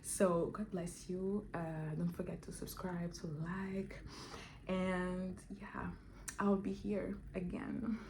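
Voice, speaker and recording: Halting, low-voiced woman vlogger. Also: flat delivery, a little mellow, slight background noise. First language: not English. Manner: halting, many restarts and fillers. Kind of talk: casual talk to camera.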